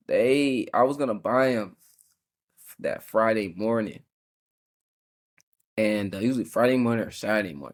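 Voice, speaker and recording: Congested early-20s male podcast host, low-ish voice, relaxed and rambling. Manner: laid-back, rambling, with frequent fillers and small laughs. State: congested, sucking a cough drop.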